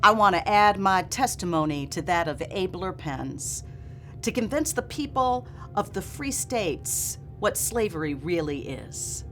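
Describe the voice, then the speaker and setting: A woman's voice: lowish voice, lively intonation, a little slow. A woman reading as an earnest, dignified nineteenth-century memoirist who was once enslaved, in a formal, solemn and emphatic manner. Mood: impassioned, grave. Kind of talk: dramatic reading of a memoir preface. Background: music.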